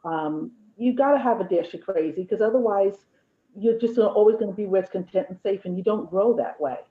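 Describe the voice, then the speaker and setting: A woman's voice: medium pitch, a little fast. A woman in her fifties, a guest and the host's mother, chatting candidly and jokingly with reflective stretches. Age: 50s. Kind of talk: casual chat. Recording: tinny phone-like line.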